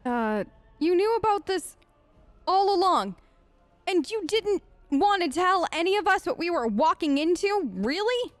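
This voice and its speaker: A woman's voice: very high voice, big pitch swings, a little slow, dry recording. A woman hosting a let's play, chatty and improvising in-character replies as she reacts aloud to the game.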